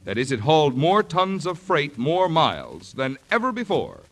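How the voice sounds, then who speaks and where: Formal, highly inflected 1940s radio announcer man. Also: wildly swooping pitch, moderate pace. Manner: formal, declamatory. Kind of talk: 1940s radio scripted sponsor message.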